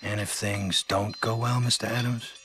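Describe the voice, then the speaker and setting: Michael Ford, a grim secret agent. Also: male voice, on the low side, level tone, sharp tone. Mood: wary. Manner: grave, measured narration. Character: grim male secret agent, adult, hard-bitten. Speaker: protagonist and narrator. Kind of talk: video game cutscene voice acting. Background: game score music.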